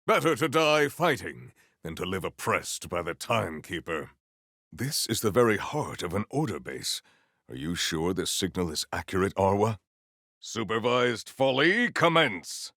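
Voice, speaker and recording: An actor playing a solemn, valiant warrior hero in a video game, speaking in formal, archaic, grandiose phrases. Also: male voice, fairly low pitch, very animated, measured pace, a bit bright, no room sound, clean studio sound, full character voice. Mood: commanding. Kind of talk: theatrical video game character lines.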